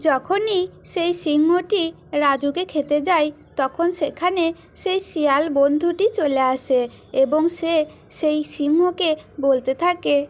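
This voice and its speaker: A woman's voice: sits high, measured pace, a little hiss or hum. A woman giving scripted storybook narration for a children's cartoon fairy tale.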